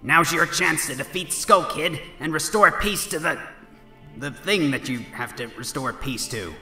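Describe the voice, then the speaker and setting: A man's voice: on the high side, a little slow, sharp tone, faint music behind, heavily acted. A man voicing a cocky, dim-witted, lazy boy hero with a flippant, self-important manner in a parody game dub. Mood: nonchalant.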